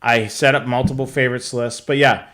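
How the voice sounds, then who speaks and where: An American man co-hosting a live hobby show, chatting casually with halting, enthusiastic delivery. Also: mid-range voice, fairly even intonation, crowd noise. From New England, United States.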